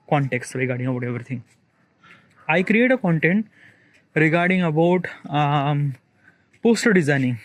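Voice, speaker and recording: A man's voice: fairly high pitch, rough recording. Hesitant man thinking aloud. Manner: hesitant, rambling, heavy on fillers and restarts. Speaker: content creator. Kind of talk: solo spoken diary, thinking aloud.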